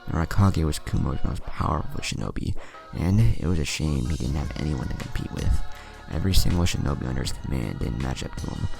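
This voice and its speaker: A man's voice: rumbling low, expressive, fairly quick, creaky, few pauses. A man reading scripted fan fiction as a narrator, voicing the dialogue as he goes, with music behind him.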